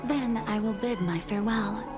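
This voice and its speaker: A woman playing an earnest, dutiful maid in a video game, speaking formally and deferentially in measured phrases. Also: on the high side, close to an everyday voice. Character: earnest, dutiful maid pleading for her young mistress. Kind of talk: dramatic video game voice acting. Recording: music behind the voice.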